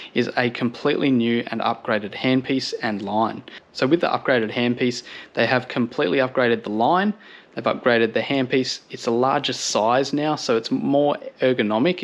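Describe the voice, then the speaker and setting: An Australian man talks viewers through a product in a casual review style. His voice sits at a medium pitch. He sounds upbeat and approving as he explains things in everyday language.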